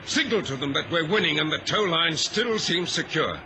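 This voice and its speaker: An actor playing a steady, commanding ship's captain in an old radio drama, speaking crisply and with authority. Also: male voice, fairly high pitch, moderate pace, heavily acted. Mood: relieved, determined. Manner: crisp, authoritative, clipped orders. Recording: hissy vintage radio recording.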